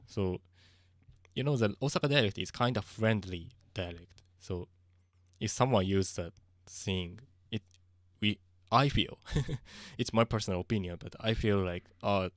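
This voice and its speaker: A man with a Japanese accent, chatting casually on a livestream, halting and searching for words with frequent soft laughs. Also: lowish voice, dry recording, clean studio sound. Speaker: streamer.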